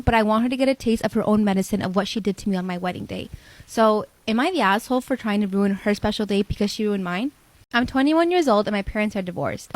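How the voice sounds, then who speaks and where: A woman narrating first-person storytime posts in a brisk, even reading voice. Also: medium pitch, no room sound.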